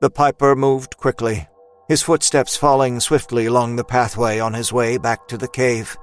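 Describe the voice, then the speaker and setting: Male narrator of dark audio fiction, music behind. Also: mid-range voice, level tone, normal speed. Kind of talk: scripted dramatic story narration.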